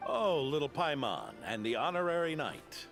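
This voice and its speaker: An actor playing a kindly, hearty old retired knight in a video game, with folksy, genial phrasing. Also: male voice, fairly high pitch, big pitch swings, normal speed, creaky, fairly bright tone, soft background music, exaggerated voice. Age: older.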